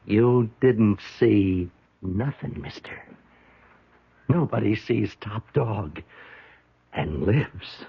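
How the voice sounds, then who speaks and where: An actor playing a menacing psychopathic street thug in a 1970s radio drama, raspy, with clipped threatening talk. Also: male voice, on the low side, lively intonation, drawn-out words, long pauses, full character voice. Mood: menacing, gloating. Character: menacing psychopathic killer, tough street thug called Top Dog. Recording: muffled old radio broadcast.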